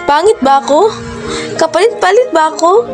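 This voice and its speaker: A woman's voice: very high-pitched, animated, normal speed, over music. A woman with a Filipino accent playing a wounded, accusing girlfriend in a melodramatic radio drama. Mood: hurt, accusing.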